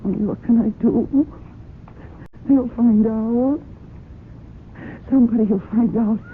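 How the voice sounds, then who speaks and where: Wrought up horse lady in an old radio mystery, high voice, confessional and dramatic, bit of noise on it. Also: fairly even intonation, slow. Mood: fearful, protective. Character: anxious, devoted horsewoman recounting a dark secret. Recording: thin phone-like old radio recording, faint noise.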